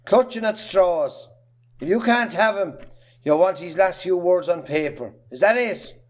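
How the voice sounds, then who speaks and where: Casting: male amateur actor as middle-aged Irish farmer, jealous husband, scornful and hard, fairly high male voice. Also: lively intonation. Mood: bitter, scornful. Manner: scornful, hard, rapid lists of grievances. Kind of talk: amateur stage-play acting.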